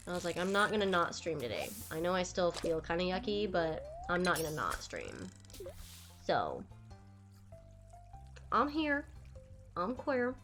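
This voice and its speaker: A young woman streaming a game, chatting casually with viewers while stuffed up and nasal from a cold. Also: mid-range voice. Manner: chatty, rambling, lively. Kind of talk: casual live-stream chat while gaming.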